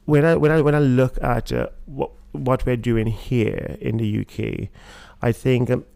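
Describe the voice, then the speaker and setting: A man from Trinidad with a Trinidadian accent, a guest talking in a relaxed interview and often pausing with fillers and restarts. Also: medium pitch, vocal fry, clean tone, no echo, studio quality. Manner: hesitant, many fillers and restarts. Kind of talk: casual interview talk.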